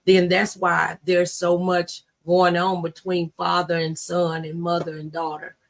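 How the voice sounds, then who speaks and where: A woman's voice: lowish voice, level tone, unhurried, slight background noise. A middle-aged woman hosting a talk show in a casual, conversational style.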